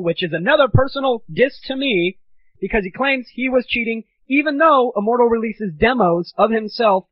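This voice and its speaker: A man hosting a gaming audio show, delivering a belligerent, sarcastic, profane comedic rant in a husky voice. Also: very high-pitched. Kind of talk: ranting comedic monologue. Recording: dull lo-fi 1990s amateur recording.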